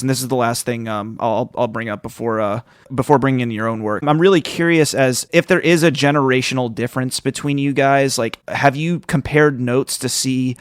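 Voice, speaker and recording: A man in his thirties hosts a relaxed book podcast in a dry, close space. His voice sits at an ordinary pitch. He rambles, circling and restarting as he works toward each question. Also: clean tone, dry recording. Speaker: podcast host, interviewer. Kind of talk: casual literary interview.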